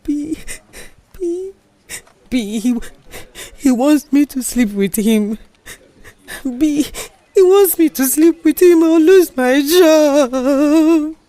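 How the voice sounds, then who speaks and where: High-voiced, tearful Nigerian office worker. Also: female voice, animated, drawn-out words, pauses often, warm tone, no room sound, exaggerated voice. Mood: distraught, crying. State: tearful, breathless, crying. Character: distraught, frightened young female office employee.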